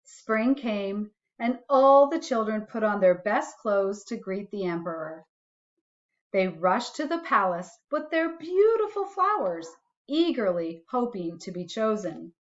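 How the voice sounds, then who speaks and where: A woman reading a children's storybook aloud expressively, adding musing asides to young listeners in a deliberate way. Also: mid-range voice, sing-song, muffled recording.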